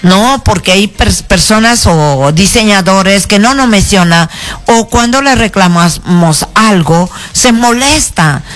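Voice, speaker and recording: A Peruvian woman with a Shipibo accent, her first language being Shipibo, speaks Spanish in an earnest, halting way. Her voice sits at a middling pitch, and faint music plays softly behind her. She often restarts and tags her sentences with "¿no?". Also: lively intonation. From Peru. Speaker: artisan association president, guest. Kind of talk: radio interview.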